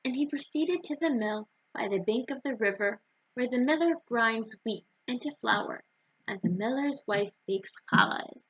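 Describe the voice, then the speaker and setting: A woman storyteller reading a children's storybook aloud in a deliberate, expressive way for young listeners. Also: a little high, slightly rough, lo-fi sound.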